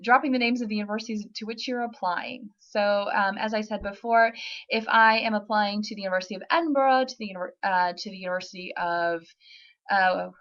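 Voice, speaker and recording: A woman's voice: medium pitch, fairly even intonation, fairly quick, fairly bright tone, highs cut off. A woman working as a university admissions representative, answering typed questions in a webinar in a friendly, informative way with frequent ums and uhs.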